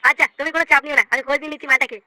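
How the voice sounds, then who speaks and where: A performer voicing a brash, foul-mouthed young village slacker in a comedy cartoon, with a squeaky pitched-up voice and crude, bickering delivery. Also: steady tone, rapid-fire, sharp tone, dry recording, rough recording. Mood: scheming. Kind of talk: comic cartoon dialogue with slangy swearing.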